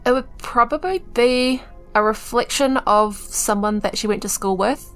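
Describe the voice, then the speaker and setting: A woman's voice: fairly high pitch, normal speed, a bit bright, soft background music. A woman with a New Zealand accent chatting casually as a player in a tabletop roleplaying game.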